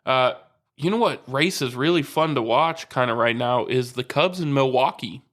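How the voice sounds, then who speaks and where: A man's voice: medium pitch, normal speed, a bit bright. A man co-hosting a podcast, chatting casually about baseball in an easygoing way and laughing often. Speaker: podcast co-host. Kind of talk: casual sports chat.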